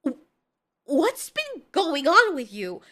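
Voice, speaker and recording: A woman's voice: high-pitched, animated, measured pace, fairly bright tone. A woman voicing a distressed female giant moth monster in an audio drama, exclamatory, stammering and incredulous. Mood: shocked, scolding.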